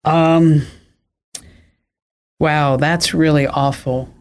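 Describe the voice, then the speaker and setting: A man's voice: fairly high pitch, fairly even intonation, extremely slow, clean tone. A man hosting a call, casually asking questions and giving advice with sympathetic interjections.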